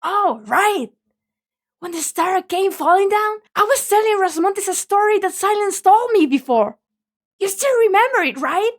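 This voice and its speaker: Excitable young girl for a game fan dub, played by a woman: tiny high voice, informal. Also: normal speed. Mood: eager.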